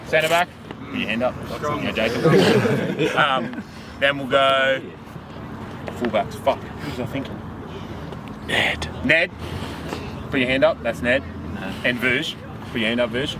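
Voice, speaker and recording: A man casually reading a team lineup to his players outdoors at a football pitch, relaxed, with hesitations and jokes. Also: slow pace, pauses often, heavy background noise. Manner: relaxed, hesitant, joking with teammates. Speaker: team manager.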